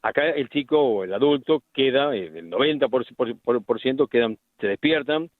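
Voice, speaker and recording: A middle-aged man with an Argentine accent, a medical specialist explaining things deliberately in a radio interview, with frequent restarts. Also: medium pitch, animated, dry recording. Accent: Argentine Spanish. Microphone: phone line. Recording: tinny phone line, dry.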